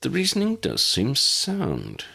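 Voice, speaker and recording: A man voicing a formal, bookish young male wizard who speaks in a measured, rather pompous way. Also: mid-range voice, wildly swooping pitch, creaky, pushed and bright, husky, pitched above the performer's own voice, full character voice. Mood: measured, observant.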